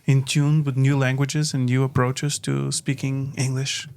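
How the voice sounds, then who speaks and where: A man's voice: medium pitch, level tone, gravelly, a little mellow. Reflective male podcast host, Portuguese native. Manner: reflective, halting with frequent fillers. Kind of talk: casual chat.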